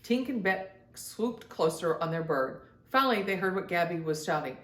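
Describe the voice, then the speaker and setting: A woman reading a children's story aloud in an expressive storytelling style, speaking as if to young listeners. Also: lowish voice, normal speed, roomy sound. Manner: addresses young children directly, acts out dialogue. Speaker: children's storybook reader and host.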